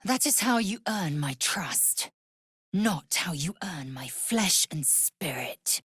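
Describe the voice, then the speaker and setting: An actress voicing a fierce, haughty young alien warrior woman in a video game, husky and breathy, with an imperious, clipped, formal manner. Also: on the low side, expressive, slow, fairly bright tone, dry recording, clean studio sound, full character voice. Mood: cool, measured.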